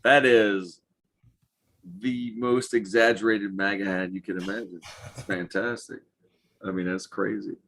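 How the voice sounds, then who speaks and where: Casting: man, thirties, lowish voice, blunt and profane, loose late-night panel banter. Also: steady tone, measured pace, long pauses, a bit bright, slight background noise. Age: 30s. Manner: profane, blunt slangy talk. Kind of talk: casual chat, bantering.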